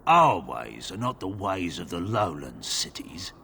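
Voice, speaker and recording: A man's voice: deep voice, big pitch swings, a little slow, bright, forceful tone, a little hiss or hum, heavily acted. A man playing a terse, suspicious village guard in a video game, speaking in a guarded, formal way. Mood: guarded, firm. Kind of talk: video game dialogue acting.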